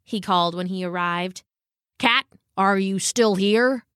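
A woman's voice: mid-range voice, a little slow, a bit bright, clear, resonant tone, no echo, studio quality, natural voice. A woman voicing a humble, earnest old male dog in a storybook, speaking hesitantly and sincerely. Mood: tentative. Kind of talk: storybook character voice.

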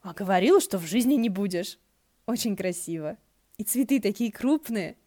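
A woman's voice: medium pitch, animated, normal speed, dark tone, no echo, clean studio sound, lightly acted. A woman voicing a playful, chatty young male wind deity in a fan-fiction audiobook, gushing and full of eager questions. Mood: eager, friendly. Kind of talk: audiobook character voice.

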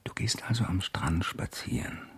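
An actor playing a brooding, reclusive writer with a husky voice in a German radio crime drama. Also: male voice, sits low, normal speed, whispered. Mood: intimate, pensive. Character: brooding male writer, reclusive, edgy and evasive.

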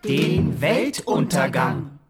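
Demanding patron, very slow delivery, lively intonation, skeptical, radio drama over loud music. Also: female voice, low-pitched, vocal fry, exaggerated voice. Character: skeptical, demanding female patron confronting managers. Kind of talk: radio play acting.

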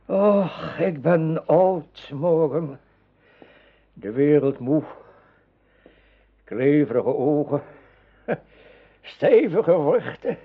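An actor playing a weary, wise old wizard mentor in a radio drama, speaking with measured, solemn counsel. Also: male voice, a little high, expressive, slightly rough, heavily acted. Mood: weary, wistful. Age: older. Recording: thin, phone-like old radio play recording.

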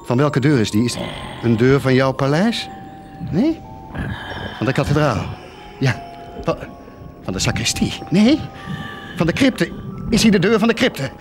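An actor playing a tormented, guilt-ridden priest of the poor in a theatrical radio drama, repeating words and swinging between muttering and outcries. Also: male voice, a little high, big pitch swings, unhurried, long pauses, over music. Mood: probing, uneasy. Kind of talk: theatrical radio drama acting, anguished monologue.

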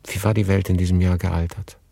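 An actor playing a weary refugee who narrates a literary radio play in a grave, measured manner. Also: male voice, deep voice, little pitch movement, vocal fry, warm tone, dry recording, studio quality. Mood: sombre.

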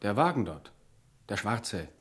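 An actor in a radio play playing a watchful, uneasy office employee who speaks with urgent insistence. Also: male voice, on the low side, big pitch swings, unhurried. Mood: insistent.

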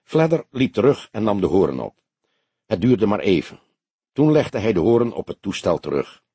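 A male audiobook narrator reads a scripted story dramatically. His voice is low and husky, with lively movement in pitch and a close, dry sound. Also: normal speed, no room sound.

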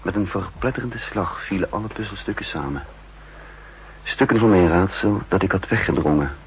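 An actor playing a cool, calculating spy chief who narrates in the first person, in a 1960s radio drama. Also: male voice, deep voice, animated, a little hiss or hum, rough recording. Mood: tense. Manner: measured, sardonic. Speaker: narrator and lead.

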